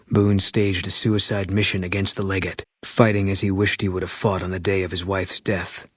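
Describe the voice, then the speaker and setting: A man's voice: sits low, a bit bright, breathy edge, no room sound, studio quality. A man reading solemn scripted ending narration for a video game. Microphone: dry studio mic.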